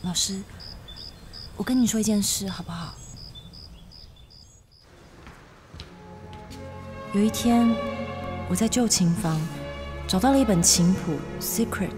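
A woman's voice: medium pitch, moderate pace, pauses often, close to an everyday voice. A woman acting a wistful, secretive teenage schoolgirl in a radio drama. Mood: hesitant, confiding. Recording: radio drama with music underneath.

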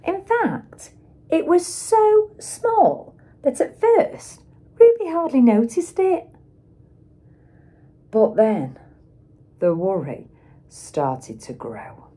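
A middle-aged woman reads a children's picture book aloud like a teacher, with dramatic emphasis and pauses for young listeners. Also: high-pitched, wildly swooping pitch, dark tone. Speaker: schoolteacher reading aloud.

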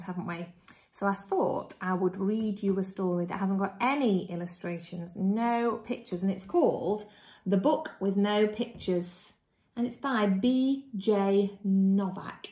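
A woman's voice: mid-range voice, darkish tone, reverberant. A woman in her thirties, a teacher, chatting casually to young children. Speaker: primary school teacher. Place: small living room at home. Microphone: phone or tablet at arm's length.

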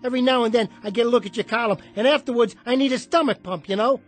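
An actor playing a bitter, washed-up small jockey in a radio drama, husky and combative, talking in streetwise slang. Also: male voice, very high voice, quick pace, soft background music. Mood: belligerent.

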